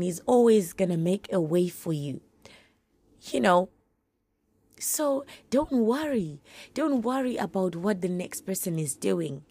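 A woman with a hoarse, husky voice from a flu, giving earnest, encouraging faith-based advice straight to her listeners. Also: lowish voice, darkish tone, dry recording. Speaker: podcast host.

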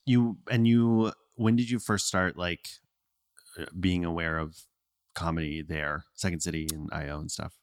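A man with a fairly low voice hosts a casual comedy podcast. He rambles, pokes fun at himself and laughs often. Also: steady tone, normal speed, clear, resonant tone. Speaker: podcast host.

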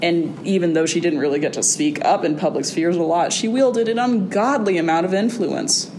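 A young woman, a college student, talking casually as an interview guest in a wry, animated way, with fillers and restarts. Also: moderate pace, low-quality recording. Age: young adult. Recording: audible background noise with a low rumble.

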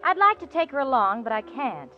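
A woman's voice: sits high, big pitch swings, fairly quick. An actress playing a sensible, wry young woman who is roommate and narrator in a 1940s radio comedy. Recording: dull old radio recording, music behind.

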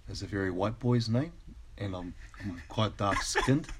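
A man in his thirties with a New Zealand accent, a guest chatting casually on a podcast, relaxed and hesitant with many ums. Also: lowish voice, measured pace, a little hiss or hum. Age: 30s.

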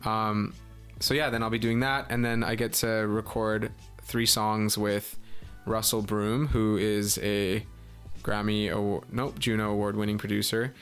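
Breathy young guy, lowish voice, rambly chatty guest, faint music behind. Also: flat delivery, measured pace, fairly bright tone. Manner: chatty, filler-heavy storytelling. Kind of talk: casual podcast chat.

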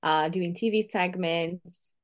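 A woman's voice: fairly low pitch, takes it slowly. A woman with a Brazilian Portuguese accent, a podcast guest telling her story in an upbeat way with frequent laughs. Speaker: guest, visibility coach. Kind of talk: podcast interview.

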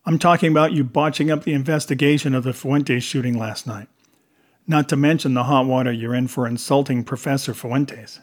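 A man's voice: mid-range voice, fairly even intonation, fairly quick, lightly acted. A man voicing a hot-tempered, blustering police lieutenant who barks and sputters in an audiobook. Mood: angry, accusing. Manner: barking, sputtering when flustered.

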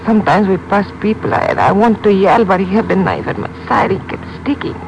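An actress playing an injured, frightened young woman with a Mexican accent in a 1950s radio drama, speaking in a halting, pained, weary way. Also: mid-range voice, natural voice. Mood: shaken, halting. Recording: muffled old radio recording, loud engine noise.

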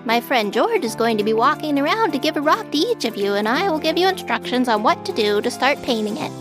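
A woman's voice: sits high, big pitch swings, brisk, over music. A woman voicing a cheerful craft helper addressing children in a storybook reading. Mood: cheery. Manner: bright, teacherly.

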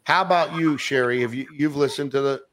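A man's voice: medium pitch, brisk, fairly bright tone. A man hosting an online talk show, speaking with enthusiasm and rambling through many fillers and restarts. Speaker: podcast host. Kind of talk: casual online show chat.

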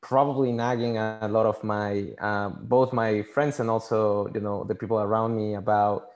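A man from Mexico City, a native Spanish speaker with a Mexican accent, answering interview questions casually and haltingly, often repeating words. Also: on the low side, level tone. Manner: halting, repeats words, many "you know" fillers. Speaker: podcast guest, scientist and engineer.

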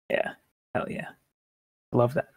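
A man co-hosting a casual podcast in a relaxed way, hedging with "like" and "sorta". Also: no echo, clean studio sound. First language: English. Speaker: podcast co-host.

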